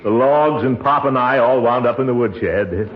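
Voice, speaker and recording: A man's voice: mid-range voice, normal speed, lightly acted. A man acting a pompous, easily flustered middle-aged American uncle in a 1940s radio comedy, blustering and chuckling as he hems and haws. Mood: jovial, pompous. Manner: blustering, hemming and hawing, frequent chuckles. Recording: muffled, noisy old radio recording.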